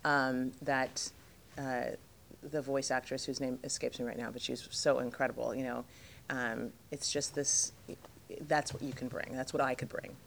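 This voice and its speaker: Low-voiced jet-lagged woman rambling. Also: flat delivery, normal speed, creaky, slight background noise. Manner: rambling, lots of fillers and restarts, laughs often. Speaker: actress interviewed as guest. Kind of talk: casual interview chat.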